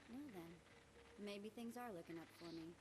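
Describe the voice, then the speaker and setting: A woman's voice: mid-range voice, normal speed, lightly acted. Woman playing sassy alien captive, noisy background. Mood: sardonic. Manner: wry, defiant, streetwise. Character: sassy, willful young female alien captive, sardonic. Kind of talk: sci-fi video game acting.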